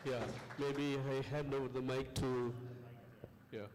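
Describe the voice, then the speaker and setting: South indian guy running a class, halting and repeats himself, big reverby hall. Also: medium pitch, level tone, background noise. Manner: halting, repetitive. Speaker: lecturer and session host. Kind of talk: informal spoken classroom instructions. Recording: long echoing hall reverb.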